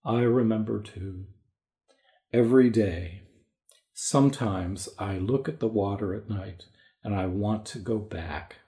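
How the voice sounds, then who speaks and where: Weary old king of the gods, gentle father played by a man. Very slow, solemn, dark soft tone, radio-play style. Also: lowish voice. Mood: wistful. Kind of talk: dramatic reading like a radio play.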